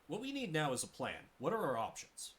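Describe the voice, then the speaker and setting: A man's voice: a little high, wildly swooping pitch, a little fast, a bit bright. Man playing level-headed, curious fantasy tactician. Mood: thoughtful. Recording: game music behind the voice.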